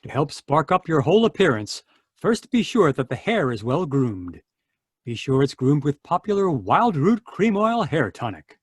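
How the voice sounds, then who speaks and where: A man announcing a commercial in the style of a 1940s radio pitch. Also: sing-song, moderate pace, slightly rough, dry recording, clean studio sound.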